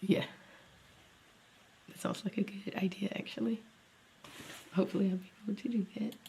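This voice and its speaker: Young woman, vlogger, congested, husky, gravelly, mellow, rambling. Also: mid-range voice, fairly even intonation, normal speed, a little hiss or hum. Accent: Southern US. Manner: rambling, thinking aloud, drops to near-whisper. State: congested with a cold. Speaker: special education teacher and vlogger. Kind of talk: casual vlog talk to camera.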